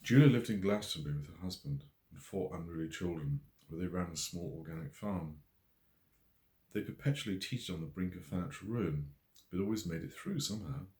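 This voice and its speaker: A man's voice: sits low, lively intonation, fairly quick, darkish tone. A man reading scripted narration from his own novel as an audiobook. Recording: echoey room.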